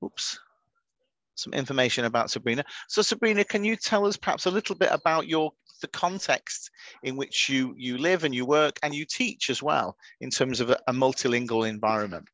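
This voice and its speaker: A man's voice: medium pitch, a little fast, a bit bright, no echo, low-quality recording. A man hosting an online teachers' webinar in a friendly, chatty way, often restarting his sentences, heard over a video call. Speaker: webinar moderator.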